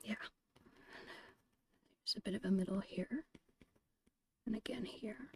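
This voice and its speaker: A woman whispering in a breathy, creaky voice, murmuring her way step by step through an ASMR art narration. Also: mid-range voice, mellow, slight background noise. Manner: unhurried, murmured step-by-step musing. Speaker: host of an art ASMR channel.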